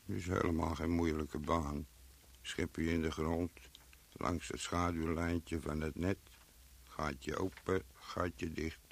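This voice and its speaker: An actor playing an earthy gravedigger in a radio play, muttering and musing to himself about the dead. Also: male voice, rumbling low, steady tone, takes it slowly, vocal fry, heavily acted. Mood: musing, matter-of-fact.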